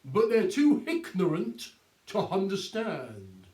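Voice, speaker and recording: A man reading a storybook and voicing a sly, commanding village police sergeant with a booming, bossy delivery. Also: huge pitch swings, slow pace, a little mellow, roomy sound, recorded from a distance, full character voice.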